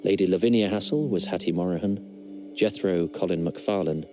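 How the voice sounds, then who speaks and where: Low-voiced male credits announcer. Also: normal speed. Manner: calm, formal reading. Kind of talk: reading closing credits. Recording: music behind the voice.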